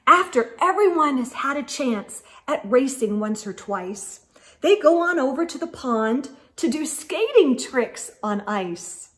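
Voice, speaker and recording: A middle-aged woman hosting a children's storytime, reading a picture book aloud in an expressive, sing-song way and talking directly to her young listeners. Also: sits high, measured pace. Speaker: host of children's storytime show. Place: indoors in a room lined with bookshelves.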